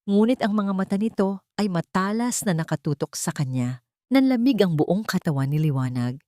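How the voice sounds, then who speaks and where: A woman's voice: mid-range voice, animated, moderate pace, warm tone, no echo, studio quality. A woman narrating a scripted dramatic story as a storyteller.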